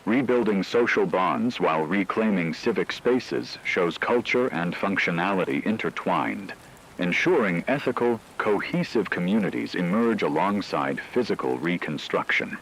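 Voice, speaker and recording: A man reading scripted radio news as an anchor, with a formal, measured delivery. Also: low-pitched, lively intonation, fairly bright tone, a little hiss or hum, low-quality recording.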